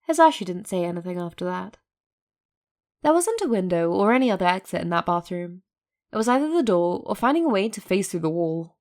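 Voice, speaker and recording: A woman's voice: fairly low pitch, big pitch swings, fairly quick, darkish tone. A woman narrating a piece of fiction from a script, as a storyteller. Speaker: narrator, fan fiction reader.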